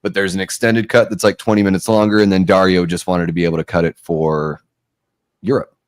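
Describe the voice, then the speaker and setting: A man's voice: low voice, little pitch movement, brisk, no echo. A man in his forties, a show host, chatting casually and at length during a live movie watch-along, often laughing. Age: 40s. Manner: talkative, rambling, laughs often.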